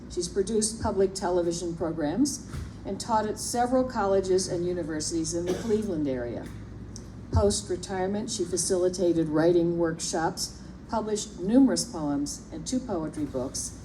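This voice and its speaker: A woman hosting a poetry reading, introducing the next reader with prepared, friendly remarks. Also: lowish voice. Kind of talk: scripted introduction at a reading event. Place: bookstore event room. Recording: noisy live event recording.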